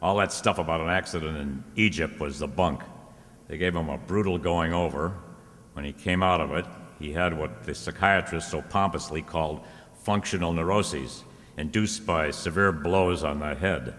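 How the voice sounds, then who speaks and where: A man's voice: rumbling low, moderate pace, fairly bright tone. A man acting a wry, tough private detective in an old-time radio drama, with a sardonic, wisecracking delivery. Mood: explaining. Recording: hall-like reverberant radio play recording.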